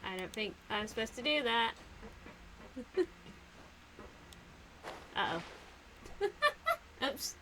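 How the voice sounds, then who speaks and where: Young woman streamer, giggly, slow-paced, animated pitch, noisy home mic. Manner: giggly, talks to herself while playing. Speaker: game streamer. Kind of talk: casual gameplay commentary.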